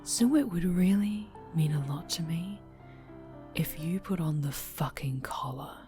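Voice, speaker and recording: A woman playing a sinister, obsessively doting dark-angel superhero in an intimate ASMR roleplay, cooing and whispering with sweet menace. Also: low voice, lively intonation, unhurried, faint music behind. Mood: menacing, possessive.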